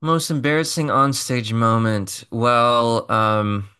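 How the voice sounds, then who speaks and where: Bright-voiced chatty male musician. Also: medium pitch, slow, clean tone. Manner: chatty, self-deprecating, loose with fillers. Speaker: singer and musician guest. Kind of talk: casual interview storytelling.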